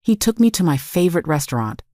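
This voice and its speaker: A woman with a low voice delivers scripted, dramatic first-person narration. Her manner is confessional, leaning on short emphatic phrases. The recording is dry and close. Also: sing-song, quick pace, dark tone, no room sound. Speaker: story narrator.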